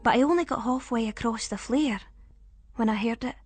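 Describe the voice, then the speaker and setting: A woman with a Scottish accent narrating a first-person ghost story in a suspenseful, expressive way. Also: fairly high pitch, moderate pace, faint music behind.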